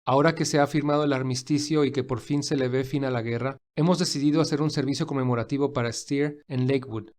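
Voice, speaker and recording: A man playing a kind, busy, wealthy gentleman who speaks warmly and reassuringly in an audio fan drama. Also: medium pitch, fairly even intonation, quick pace, hardly any pauses, natural voice. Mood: calm, friendly. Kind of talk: acted audio fan fiction dialogue.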